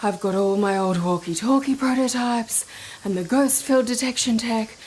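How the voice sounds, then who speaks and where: Woman acting an eager, high-voiced tinkerer. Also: moderate pace, darkish tone, slight background noise, close to an everyday voice, poor audio. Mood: breezy, flustered. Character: scatty, eager female tech tinkerer.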